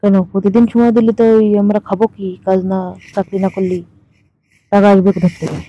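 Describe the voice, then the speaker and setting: A woman's voice: mid-range voice, flat delivery, moderate pace. A woman in a casual, teasing, colloquial phone chat. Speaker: caller in a staged phone conversation. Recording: muffled lo-fi phone call recording.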